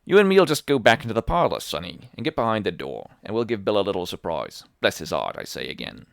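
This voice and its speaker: A man's voice: fairly low pitch, huge pitch swings, fast talker, gravelly, no room sound, studio quality, full character voice. A man reading an audiobook, voicing a fawning, sneering old pirate with a maimed hand who coaxes and threatens by turns. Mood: coaxing. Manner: fawning yet sneering, coaxing. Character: wheedling one-handed old pirate man. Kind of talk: audiobook character voice.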